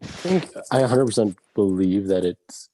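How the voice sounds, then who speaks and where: Very deep, dark-voiced man in his thirties. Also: expressive, moderate pace. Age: 30s. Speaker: ambassador.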